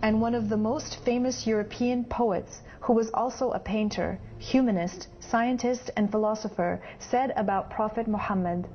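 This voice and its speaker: A woman's voice: a little high, normal speed, hardly any pauses. A woman delivering scripted documentary narration as a narrator. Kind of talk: scripted narration introducing quotations. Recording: dull lo-fi audio. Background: faint music.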